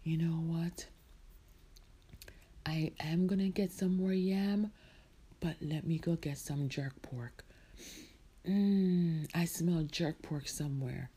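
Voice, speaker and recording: A woman storyteller voicing an excitable, dramatic Jamaican woman who exclaims and questions herself aloud, with a Jamaican accent. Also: low-pitched, slow pace, long pauses, husky, exaggerated voice. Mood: eager. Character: excitable Jamaican woman, easily delighted, growing bewildered and panicky. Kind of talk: acted oral storytelling.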